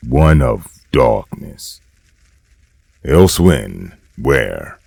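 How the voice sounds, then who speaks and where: A man narrating a dark comic book in a grave, scripted, dramatic style. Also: a bass voice, big pitch swings, drawn-out words, gravelly, breathy edge, heavily acted. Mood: grave, measured.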